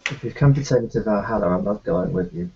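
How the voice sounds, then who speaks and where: A man voices his doctor character in a tabletop roleplaying session. He speaks in a lowish, gravelly voice that stumbles through ums and restarts. The recording is muffled and lo-fi. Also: animated, a little mellow, a little hiss or hum. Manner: hesitant, halting speech with many ums and restarts. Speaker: tabletop player.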